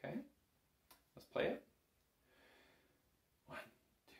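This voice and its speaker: A man giving a casual, step-by-step music lesson as an instructor, counting beats and checking in as he goes. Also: slow pace. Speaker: bagpipe teacher. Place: small indoor room full of instruments. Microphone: camera a short distance in front. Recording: roomy echo from camera mic.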